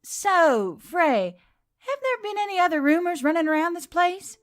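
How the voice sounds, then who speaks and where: A woman's voice: extremely high pitch, very animated, normal speed, voice pushed up. A woman playing a flippant, sarcastic young female adventurer in a fantasy audiobook, blunt and teasing. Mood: curious. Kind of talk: scripted audiobook character acting.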